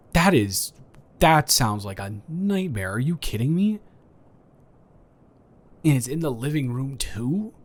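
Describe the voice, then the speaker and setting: A man's voice: mid-range voice, sing-song, takes it slowly, pauses often, pitched above the performer's own voice. A man playing an awkward, friendly neighbor in an improvised roleplay audio, stammering and rambling hesitantly. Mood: sympathetic, nervous.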